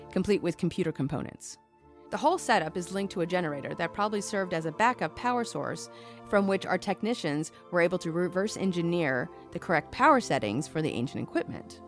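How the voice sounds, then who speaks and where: A curious woman journalist played by an actress reports from the field in an audio drama. Her voice sits slightly low and moves expressively as she explains things with casual asides. Soft music plays faintly underneath. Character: curious, chatty woman journalist reporting from a volcanic base camp. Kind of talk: audio drama, epistolary radio message narration.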